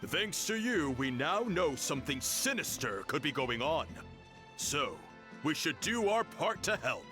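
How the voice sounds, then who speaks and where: An actor playing a calm, thoughtful and reassuring tribesman, over game music. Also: a little high, a little slow, exaggerated voice.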